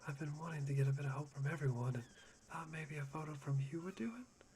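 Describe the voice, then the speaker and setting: A man playing an unsettling, self-deprecating young man obsessed with hope, breathy and theatrical in an anime-style ASMR roleplay. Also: fairly high pitch, fast talker, darkish tone, lightly acted. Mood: coaxing. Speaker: voice actor in fan roleplay. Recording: clear background noise, insect ambience.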